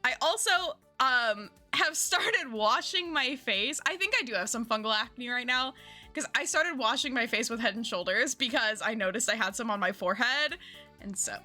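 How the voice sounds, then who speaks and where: Young woman streamer, bubbly and self-mocking, lively swooping delivery, a bit phlegmy from a cold. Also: on the high side, moderate pace, faint music behind. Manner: chatty, giggly, self-deprecating. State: slightly phlegmy, lingering cough. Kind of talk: casual stream chat.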